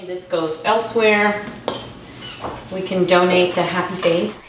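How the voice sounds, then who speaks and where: A woman hosting a show, talking casually in an effusive, expressive way. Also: lowish voice, a little slow, background noise. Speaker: cooking show host. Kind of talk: casual lifestyle show chat. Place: home kitchen, indoors. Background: background music.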